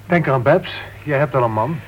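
A man acting as a sardonic club member in a radio play, medium in pitch. He is dry and needling. The old recording sounds dull, with faint noise. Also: moderate pace, natural voice. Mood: teasing.

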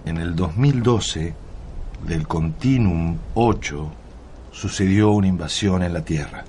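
A deep-voiced, grave Argentine time traveller. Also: male voice, slow pace, dark tone, a little hiss or hum. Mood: grave, solemn. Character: male time-travelling wanderer, weary, grave family man. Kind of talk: Spanish-language radio drama acting.

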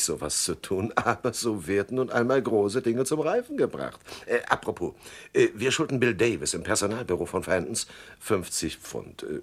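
An actor playing a suave, jovial gang leader in a radio crime comedy, genteel and wordy, with chuckles and mock politeness. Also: male voice, lowish voice, slightly rough, full character voice. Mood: jovial, brisk. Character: jovial, suave male crime boss running a front agency. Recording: faint noise on 1970s radio production.

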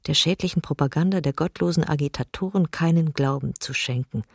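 A solemn male Orthodox archbishop issues a wartime proclamation in a radio drama. The voice is husky and creaky, recorded dry. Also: fairly high pitch, a little fast, dry recording. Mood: solemn exhortation. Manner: solemn, exhortatory.

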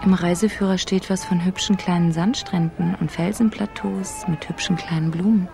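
A woman's voice: lowish voice, fairly even intonation, normal speed, vocal fry, over music. An actress playing a wry, curious woman traveller in a radio drama, with a dry, teasing manner.

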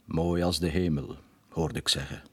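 Very low, husky sombre villager. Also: male voice, steady tone, moderate pace, fairly bright tone, full character voice. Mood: sombre, musing. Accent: Flemish. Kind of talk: solemn radio drama chorus narration.

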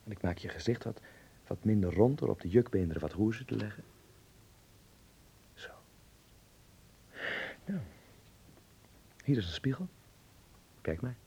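Radio play: eager, insistent young dreamer, creaky husky voice, lively intonation, likes giving instructions. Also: male voice, on the low side, measured pace, long pauses, a little hiss or hum. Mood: calm, absorbed. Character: eager, bossy young film-mad son, stage-struck. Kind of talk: Dutch radio play acting.

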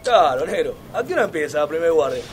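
A man's voice: fairly high pitch, big pitch swings, fast talker. A man playing a chummy, teasing factory workmate in a radio drama, with a Rioplatense Argentine accent. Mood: casual. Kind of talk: naturalistic radio drama acting. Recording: noisy outdoor-like ambience, often off-mic.

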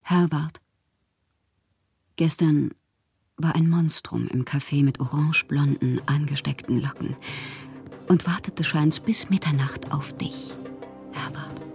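A woman's voice: low voice, slow, gravelly, pauses often, darkish tone, exaggerated voice. An actress playing an impassioned, proud and whimsical woman poet who reads out her letters theatrically in a radio drama. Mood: jealous, accusing. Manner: theatrical, capricious, swinging from wounded to playful.

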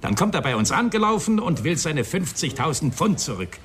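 An actor playing an irascible, grumbling police inspector, gruff and emphatic, in a German radio crime drama. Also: male voice, on the high side, very animated, fairly quick, fairly bright tone, slight background noise. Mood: indignant.

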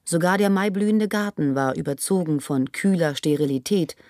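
A woman's voice: fairly low pitch, normal speed, warm tone, no room sound, clean studio sound, close to an everyday voice. An actress playing a reflective, critically observant woman writer in a literary radio drama monologue. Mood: scornful.

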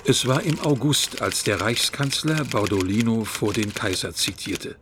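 An actor playing a chronicler, narrating historical events formally in a radio drama. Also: male voice, on the low side, lively intonation, a little slow, noisy background.

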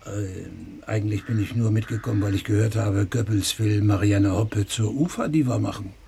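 A man's voice: on the low side, normal speed, creaky, dark tone, a little hiss or hum. An actor playing a blunt, gossipy male surgeon at a dinner gathering. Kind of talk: radio play acting.

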